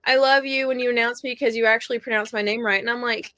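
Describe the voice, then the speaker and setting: Chatty Oklahoma woman, slightly high voice. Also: fast talker. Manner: chatty, laughs often, animated storytelling. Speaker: wrestling ring announcer and guest. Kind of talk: casual podcast interview.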